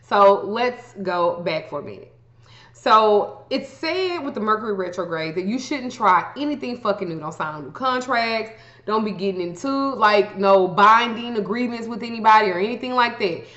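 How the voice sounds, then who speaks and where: A woman's voice: mid-range voice, lively intonation, moderate pace, fairly bright tone. A woman vlogger chatting casually to viewers as she explains things, blunt and profane, with joking asides, in a muffled, echoey room recording. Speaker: astrology vlogger giving tips. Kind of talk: casual explainer chat to camera.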